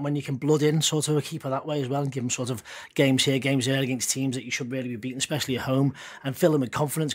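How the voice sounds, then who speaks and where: Fast-talking man in a football chat. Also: medium pitch, husky. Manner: chatty, loose, repeats words. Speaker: podcast pundit.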